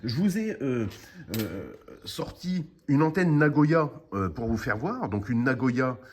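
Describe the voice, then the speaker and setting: A man's voice: medium pitch, big pitch swings, unhurried, a little mellow, husky. Casual Frenchman presenting a product. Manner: informal, explanatory, frequent conversational tags. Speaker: video host presenting a product. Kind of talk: casual unscripted product review.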